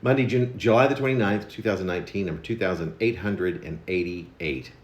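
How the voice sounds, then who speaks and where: A man's voice: sits low, a little fast, echoey room. A man reading his own poetry aloud in a conversational, wry, wordy and theatrical way. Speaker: poet reading own poem. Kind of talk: spoken-word poetry reading with informal introduction.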